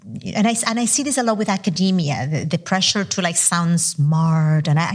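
A woman whose first language is not English answers on an academic panel, her voice sitting a little low. She talks candidly and with animation, poking fun at herself as she goes. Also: breathy edge. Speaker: panelist scholar.

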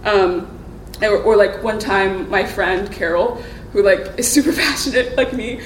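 A young woman shares her experiences in a group, speaking hesitantly and leaning on fillers. Her voice sits at an ordinary pitch and sounds somewhat far from the microphone. The room is echoey, with faint ambience behind her. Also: measured pace, a little hiss or hum. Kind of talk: personal sharing in a group discussion. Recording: echoey room, voice a bit distant from the mic.

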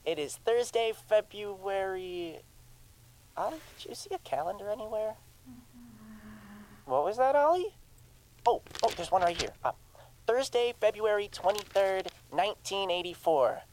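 A woman's voice: mid-range voice, animated, unhurried, pauses often, a little mellow, slight background noise, lightly acted, rough recording. A woman voicing an earnest, timid twelve-year-old boy in an audio drama, hesitant and stammering as he pleads and rambles. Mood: curious, rambling.

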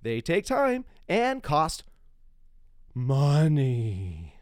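A man's voice: on the high side, huge pitch swings, very slow, dry recording, studio quality. A middle-aged man hosting a podcast, shifting between dramatic reading and casual talk. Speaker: podcast host.

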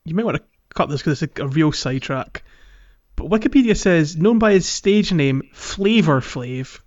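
A man's voice: on the high side, lively intonation, moderate pace, darkish tone, muffled recording. A man hosting a casual gaming podcast, talking in an informal, conversational way. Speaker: podcast host. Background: music.

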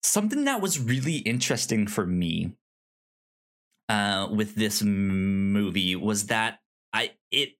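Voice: sits low, lively intonation, measured pace, a bit bright. A podcast co-host casually discussing film, often stammering and repeating words and sounds.

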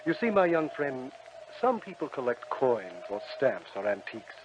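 An actor playing a suave devil who trades for souls, with smooth, wheedling sales patter, in old-time radio acting. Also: male voice, mid-range voice, sing-song, normal speed, close to an everyday voice. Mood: sly. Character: suave smiling devil, a soul-trading salesman. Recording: dull old radio broadcast recording, faint hiss.

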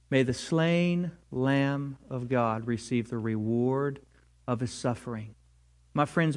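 A man preaching a sermon to his congregation, earnest and rising to emphatic peaks. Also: medium pitch. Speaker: pastor.

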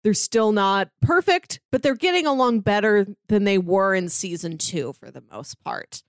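A woman co-hosting a casual podcast, talking in an animated way and laughing often. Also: mid-range voice, normal speed, clear, resonant tone, no echo, studio quality. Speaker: podcast co-host.

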